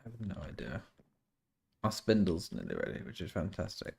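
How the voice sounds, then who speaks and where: A man's voice: sits low, wildly swooping pitch, moderate pace, vocal fry, darkish tone, husky. A man streaming a survival game, chatting to viewers and thinking aloud as he plays, sounding a bit nasal and sniffly from a cold. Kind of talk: casual gameplay commentary.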